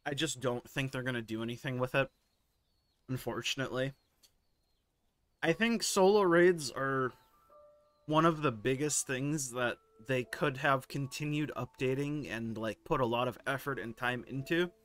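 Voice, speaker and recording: Hoarse man doing laid-back game commentary. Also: mid-range voice, normal speed, fairly bright tone. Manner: laid-back, candid, occasional swearing. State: hoarse, sore throat. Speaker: gaming video host. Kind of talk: casual commentary reading forum posts.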